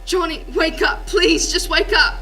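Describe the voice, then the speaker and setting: A woman's voice: extremely high pitch, measured pace, soft background music, full character voice. A woman playing an anxious, earnest young heroine, a detective's companion, in an old-time radio drama. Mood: frightened. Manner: earnest, excitable.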